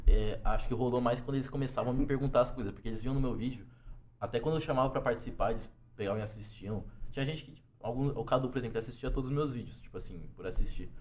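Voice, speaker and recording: A man from São Paulo with a Paulista accent, a podcast guest telling stories in a fast, casual way full of slang tags. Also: mid-range voice, darkish tone, a little hiss or hum. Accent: Paulista Brazilian Portuguese. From São Paulo, Brazil.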